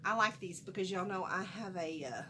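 A woman's voice: lowish voice, normal speed, background noise. Chatty woman with a cold. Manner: folksy, animated, chatty, wanders off mid-sentence. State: has a cold, a little nasal. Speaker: haul vlogger. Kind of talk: casual chatty haul vlog. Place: small room at home, indoors.

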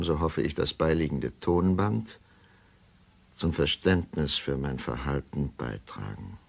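An actor playing a middle-aged doctor who gives a measured, formal account in a radio play. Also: male voice, low voice, gravelly, heavily acted. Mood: grave, resigned. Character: weary, measured middle-aged male doctor writing his confession. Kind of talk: German radio play monologue, formal report.

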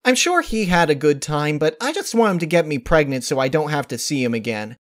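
A man voicing a bubbly, blunt female cartoon pony party planner with an excitable manner. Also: fairly high pitch, a little fast, voice pushed up, close to an everyday voice. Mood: exasperated.

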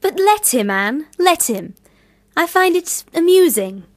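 High-voiced, curious young sister. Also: female voice, very animated, unhurried, dry recording, clean studio sound, heavily acted. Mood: amused, curious. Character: bright, curious, amused young literary sister. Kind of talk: radio play acting.

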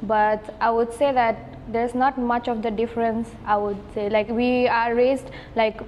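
A woman's voice: fairly high pitch, little pitch movement, a little slow, in a large hall, dull audio, slight background noise. A young woman from Nepal, a student guest, chatting casually on a podcast in a café with many filler words. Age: young adult.

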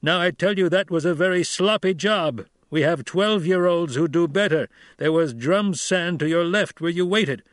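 A man's voice: fairly high pitch, normal speed, hardly any pauses, dry recording, studio quality, voice pushed up, close to an everyday voice. A man reading a stern, blunt, middle-aged desert troop leader in an audiobook, with a harsh, commanding manner.

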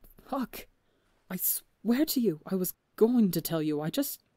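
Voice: mid-range voice, moderate pace, warm tone, dry recording, close to an everyday voice. A reader voicing a flustered, pleading pirate captain. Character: flustered guilty older male pirate captain.

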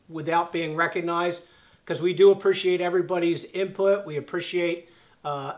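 High-voiced man in his fifties, halting. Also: fairly bright tone. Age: 50s. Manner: halting, reads questions aloud, many fillers and restarts. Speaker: channel host answering fan questions. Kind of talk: casual chat. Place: living room by a fireplace, sitting on the floor.

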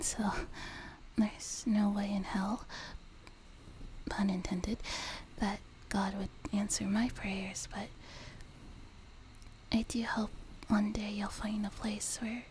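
A woman playing a sweet, nurturing young demon girl in an ASMR roleplay, speaking in a soft, breathy whisper with a gentle, reassuring manner. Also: medium pitch, natural voice. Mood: earnest, reassuring. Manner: gentle, reassuring, slow and unhurried. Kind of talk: whispered ASMR roleplay, intimate personal attention. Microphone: close binaural microphone. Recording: noticeable background noise, close binaural mic.

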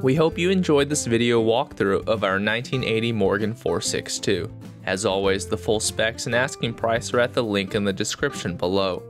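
A man's voice: on the low side, moderate pace, pauses often. A man delivering scripted promotional narration as a sales presenter. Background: guitar music.

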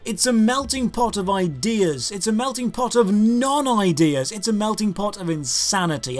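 A man in his thirties narrating a scripted game review as host, with an emphatic, exasperated, rhetorical delivery. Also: high voice. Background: background music.

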